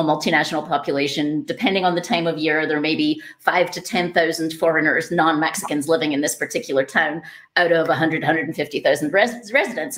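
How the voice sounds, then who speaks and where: A woman with an enthusiastic, encouraging manner, hosting a podcast and interviewing an author in a conversational way. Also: deep voice.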